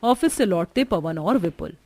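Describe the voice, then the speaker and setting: A woman's voice: fairly low pitch, expressive, moderate pace, darkish tone. A woman reading scripted storytelling narration for a cartoon. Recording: music bed behind the voice. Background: background music.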